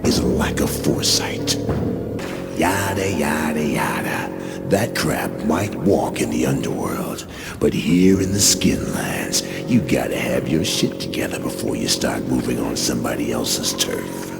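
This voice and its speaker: Gruff undead tough guy, slow and menacing, widely swinging pitch, breathy rasp, bitter game-villain edge. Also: animated, exaggerated voice. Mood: scornful, menacing. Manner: slow, menacing, sardonic, slangy. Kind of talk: dramatic video game voice acting. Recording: loud music behind the voice.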